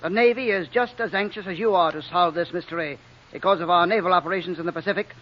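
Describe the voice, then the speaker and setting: A man plays a Navy admiral in an old radio drama, his voice fairly high for a man. He is formal, emphatic and easily exasperated, heard on a muffled vintage recording. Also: fast talker, a crowd in the background, natural voice. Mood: agitated.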